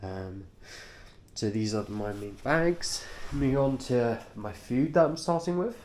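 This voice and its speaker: A man with a nasal voice, congested from a cold, talking through his cycling kit informally and haltingly, in short offhand phrases. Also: mid-range voice, lively intonation, darkish tone, slight background noise. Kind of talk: informal vlog, kit walkthrough.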